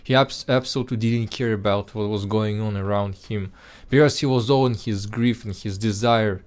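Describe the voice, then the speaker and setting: Lowish-voiced guy with a russian-ish accent, non-native english, chatting earnestly about a movie, lots of uh's and restarts. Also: steady tone, normal speed, a little mellow. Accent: Russian-sounding non-native English. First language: not English. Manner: earnest, many restarts and filler words. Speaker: YouTube film reviewer. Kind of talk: casual spoken film review.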